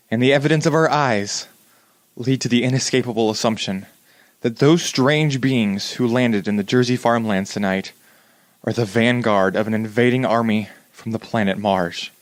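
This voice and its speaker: A man's voice: medium pitch, no room sound, natural voice. A man playing a radio news announcer in a 1930s-style drama, reading bulletins in a formal, grave manner.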